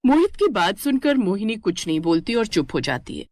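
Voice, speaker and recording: Casting: female storyteller, medium pitch, scripted narration, very dry studio-like sound. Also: very animated, a little fast, darkish tone, no room sound.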